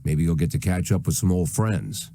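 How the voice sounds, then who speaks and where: Low-voiced, menacing old police chief. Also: male voice, normal speed, warm tone, a little hiss or hum. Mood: cold, menacing. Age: older. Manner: menacing, commanding, sardonic. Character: aging male police chief, hard-bitten, threatening and proud. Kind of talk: dramatic video game voice acting.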